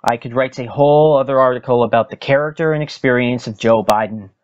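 A man's voice: mid-range voice, darkish tone. Emphatic man, dull lo-fi recording. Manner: emphatic, solemn oratory with deliberate pauses. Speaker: podcast host. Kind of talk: dramatic reading of a political opinion article.

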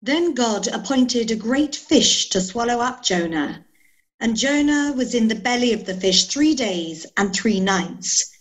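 Woman reading a bible story as narrator, bit high voice. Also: lively intonation, a little slow. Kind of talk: scripted narration of scripture. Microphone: video call.

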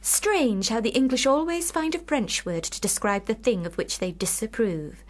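An actress in a period radio drama playing a lovelorn, sharp-witted sister, speaking with formal, deliberate, cutting irony. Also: female voice, a little high, natural voice. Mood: wry, defiant.

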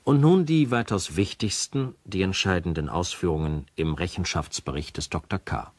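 An actor playing a formal presenter who narrates between case documents in a radio play. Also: male voice, low-pitched, normal speed, a little mellow, dry recording. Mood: formal, weighty.

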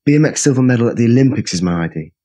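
A man's voice: medium pitch, lively intonation, rapid-fire, mellow, natural voice. A man playing a brash, cocky, tipsy young lad in an audiobook drama, blunt and sweary. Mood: brash, irritated. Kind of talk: full-cast audiobook acting.

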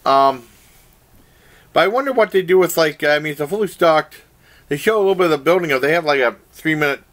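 A man's voice: a little high, expressive, brisk, a bit bright. An older man casually reviewing a game show to his webcam in a small room, rambling with many fillers and restarts. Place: small room indoors, plain wall. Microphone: webcam at desk.

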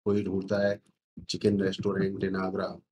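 A middle-aged man giving a self-assured screen-share sales pitch as a consultant, repeating phrases for emphasis. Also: completely flat delivery, unhurried, darkish tone, highs cut off. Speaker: SEO consultant. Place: indoor room, screen recording with webcam.

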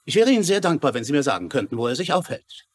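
A man's voice: mid-range voice, big pitch swings, racing. An actor playing a polite, formal male lawyer in a dubbed crime drama. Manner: courteous, formal. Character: polite, smooth-talking male estate lawyer, dutiful. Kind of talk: German dubbed TV crime series acting.

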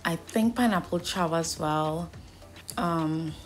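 A woman's voice: deep voice, slow pace, faint music behind. A young woman with a Trinidadian accent, chatting casually to the camera as a vlogger. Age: young adult.

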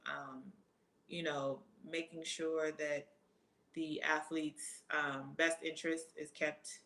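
Bright-voiced woman chatting, lots of ums. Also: deep voice. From Trinidad and Jamaica family roots, living in the US. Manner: relaxed, many ums, reads questions aloud then answers. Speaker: track athlete answering fan questions. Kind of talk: casual live Q&A.

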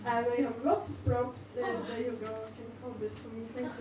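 A young woman from Poland with a Polish accent doing a stand-up set in character as a cleaning lady, talking in a chatty, halting way full of fillers and giggles, on a small club stage with a handheld mic. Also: fairly high pitch, flat delivery, crowd noise. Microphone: handheld mic, heard from a distant camera. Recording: roomy club sound from far off with audience noise.

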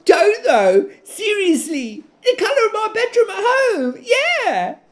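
A woman playing an excitable, giggly young person gushing to a friend in slangy exclamations, in an audio drama monologue. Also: very high voice, slow pace, full character voice. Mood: giddy, incredulous.

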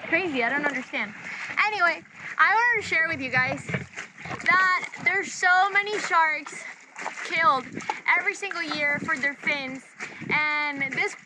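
A young woman vlogging casually from a sailboat deck, chatty and excitable with lots of "like," into a handheld action camera. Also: high-pitched, unhurried. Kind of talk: casual vlog narration. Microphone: action camera held close. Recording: loud wind and water noise.

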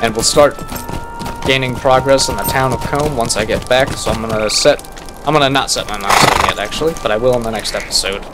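A young man with a raspy, just-woken voice, casually commenting while he plays a game and reads quest text aloud at home. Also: medium pitch, fairly quick. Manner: laid-back, thinking aloud. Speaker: gaming YouTuber. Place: home room at a computer. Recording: game music behind. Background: game music.

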